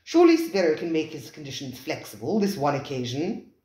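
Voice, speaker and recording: Narrator doing a flustered nice diner guy, lively voice, echoey. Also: mid-range voice, brisk, close to an everyday voice. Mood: earnest. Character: anxious, well-meaning male diner.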